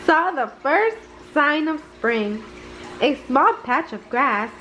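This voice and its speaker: Woman reading bedtime story, high lively voice, takes her time, bit of noise behind. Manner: slow, expressive, simple storytelling for children. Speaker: story reader. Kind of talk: bedtime storybook read-aloud.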